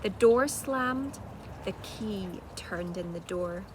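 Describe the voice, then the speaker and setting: A woman narrating a children's storybook like a teacher reading aloud, with measured, dramatic delivery. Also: medium pitch. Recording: clearly noisy home-made recording.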